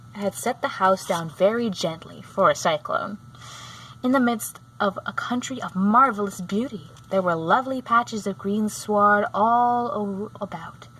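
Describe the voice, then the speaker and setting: A woman reading a children's book aloud, sometimes halting and going back over a phrase. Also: mid-range voice, poor audio. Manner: halting, often rereads phrases. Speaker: storybook reader. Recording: clear background noise.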